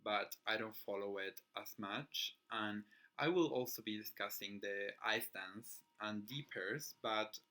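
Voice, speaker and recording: A young Chilean man in his twenties speaks English as a second language, and his Spanish accent colors the words. His voice sits a bit low yet comes across bright and forceful. He talks in a relaxed vlog manner, often stopping on fillers and starting his sentences again. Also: unhurried. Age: 20s. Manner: chatty, many fillers and restarts, occasional laughs. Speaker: figure skating vlogger. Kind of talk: casual channel introduction.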